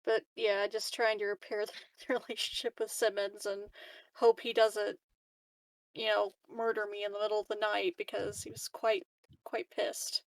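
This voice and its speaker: A woman's voice: mid-range voice, level tone, moderate pace, no room sound, poor audio. A woman playing a tabletop roleplaying game, chatting casually in a playful, giggly manner. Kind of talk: casual chat.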